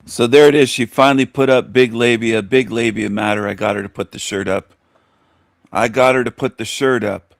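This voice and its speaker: A man's voice: mid-range voice, fairly even intonation, normal speed, gravelly, dry recording, studio quality. A man on a casual livestream, teasing the host in a mock rant.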